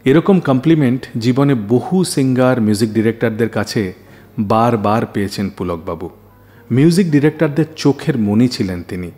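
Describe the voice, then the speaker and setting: A man's voice: mid-range voice, moderate pace, dark tone, soft background music. A man narrating scripted stories as a radio host, with dramatic storyteller phrasing.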